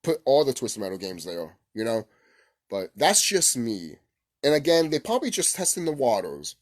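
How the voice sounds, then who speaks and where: A man hosting a casual, unscripted gaming vlog, rambling excitably, repeating himself and swearing casually. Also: medium pitch, moderate pace. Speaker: gaming video host.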